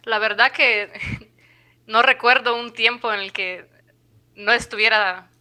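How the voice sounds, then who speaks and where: A woman in her mid-twenties from Guatemala, speaking as a guest on a podcast. Her voice is very bright and crisply clear, and she talks hesitantly, piling up fillers and restarts as she thinks aloud. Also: on the high side, steady tone, takes it slowly. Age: 20s. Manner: hesitant, many fillers, laughs lightly. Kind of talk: conversational interview.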